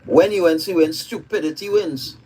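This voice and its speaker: A man's voice: on the high side, normal speed, a little mellow, breathy edge, low-quality recording. A man from Trinidad and Tobago with a Trinidadian accent, giving outspoken, opinionated commentary on a live stream.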